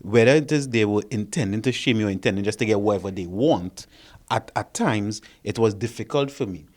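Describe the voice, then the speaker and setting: Low-voiced man explaining a theory. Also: brisk, a little mellow. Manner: explanatory, often checks in with "right?". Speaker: therapist and behavior consultant. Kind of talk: panel discussion, explaining a theory.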